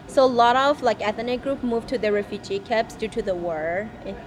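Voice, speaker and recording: A woman's voice: a little high, fairly even intonation, moderate pace. A woman in her twenties from Thailand, owner of a grocery store, answering interview questions hesitantly with many fillers, recorded inside her shop. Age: 20s. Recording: on-location with background noise.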